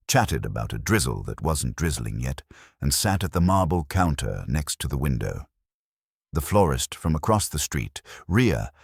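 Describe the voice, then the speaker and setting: Guy reading a noir detective story, super deep gravelly husky voice, dry close studio sound, wry delivery. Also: no echo. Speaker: audiobook narrator. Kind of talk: scripted first-person detective story narration.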